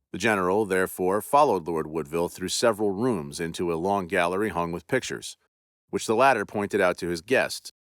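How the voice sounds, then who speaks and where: A man hosting a podcast and narrating a classic ghost story in a measured, theatrical way. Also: deep voice, fairly bright tone, dry recording, studio quality.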